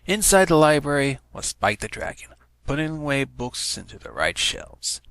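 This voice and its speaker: A man's voice: fairly high pitch, very animated, normal speed, a bit bright, smooth, no echo. A man giving a scripted narration of a story as an amateur reader. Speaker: amateur fan fiction reader.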